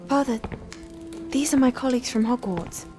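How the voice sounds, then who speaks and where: A woman's voice: a little high, normal speed, lightly acted. A woman playing an earnest young witch. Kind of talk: video game dialogue. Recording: game audio with music.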